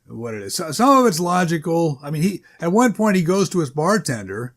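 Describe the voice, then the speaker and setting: Rambling, halting man in casual talk. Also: fairly high pitch, very animated. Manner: rambling, halting, self-correcting, hedging. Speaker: author introducing own short story. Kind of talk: informal unscripted introduction, casual talk.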